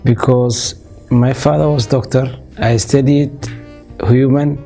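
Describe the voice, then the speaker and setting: A Somali-born man in his fifties answering interview questions in a measured way, pausing often with fillers. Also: mid-range voice, fairly even intonation, gravelly, warm tone, faint music behind. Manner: measured, with frequent uh fillers and restarts. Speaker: interview guest, business owner.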